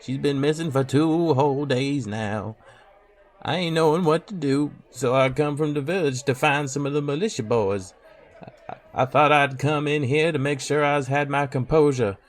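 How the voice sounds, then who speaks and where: A man's voice: fairly high pitch, moderate pace, close to an everyday voice. A man playing a humble, distraught elderly village father with folksy rustic speech in a fantasy audio drama. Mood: worried, shaken. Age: older.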